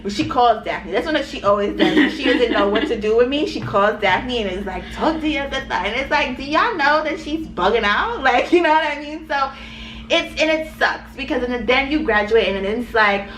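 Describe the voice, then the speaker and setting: Woman co-host, lively storyteller who acts out voices; higher voice, echoey room, noisy. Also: moderate pace. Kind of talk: casual chat. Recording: echoey room with steady background noise.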